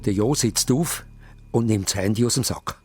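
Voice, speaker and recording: A man's voice: lowish voice, normal speed. A man with a Swiss German accent narrating a children's story dramatically, in short, suspenseful phrases. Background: music.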